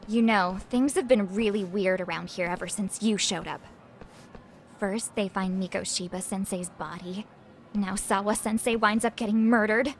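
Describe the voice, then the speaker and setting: A woman's voice: medium pitch, steady tone, normal speed, close to an everyday voice. Brash, sneering teenage schoolgirl played by a woman. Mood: accusing, scornful. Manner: scornful, brash. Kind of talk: English game dub dialogue.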